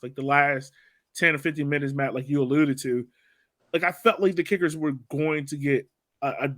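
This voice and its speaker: A man with a slightly high voice chats casually as host of a sports podcast. His phrasing is loose and conversational. The sound is very dry, with no room around it. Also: a bit bright, no room sound. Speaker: host of a soccer fan podcast. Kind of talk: casual post-game discussion.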